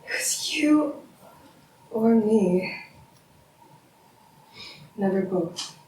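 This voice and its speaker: A woman acting a bitter, menacing academic wife in a stage drama, theatrical and sardonic, swinging into shouts. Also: medium pitch, lively intonation, very slow. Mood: bitter, anguished. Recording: roomy, distant mic, faint noise.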